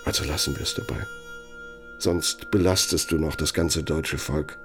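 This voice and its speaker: A man's voice: rumbling low, wildly swooping pitch, normal speed, over music, heavily acted. A male actor in a German radio play monologue, playing an embittered, sardonic former Nazi official who threatens with pointed, emphatic phrases. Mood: sardonic, needling.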